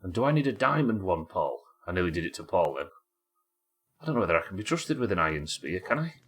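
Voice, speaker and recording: A man's voice: low voice, big pitch swings, quick pace, fairly bright tone, husky. A man with a head cold, sniffly as he casually narrates his live gameplay, thinking aloud and chatting to viewers and his in-game pets. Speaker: livestreaming gamer.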